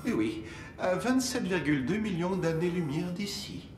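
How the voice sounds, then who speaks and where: A man voicing an earnest, literal-minded robot servant in an animated series, formal and exact in his speech. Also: sits high, normal speed, noisy background. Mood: earnest, explanatory. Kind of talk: dubbed animated series acting. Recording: echoey, with clear music behind.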